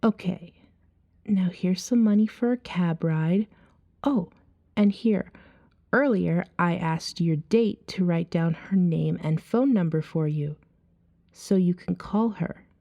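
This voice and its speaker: A woman voicing a seductive, gently hypnotic female vampire in a soft ASMR roleplay, breathy and often near a whisper, coaxing and soothing as she tells a story to the listener. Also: lowish voice, highs cut off. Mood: gentle, reassuring. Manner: slow, soothing, hypnotic second-person narration. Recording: long hall-like reverb.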